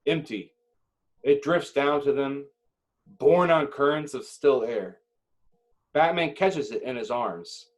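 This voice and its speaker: Slow-paced man narrating a screenplay. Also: mid-range voice, low-quality recording. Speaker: narrator reading screenplay directions. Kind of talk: dramatic scripted narration at an amateur table read.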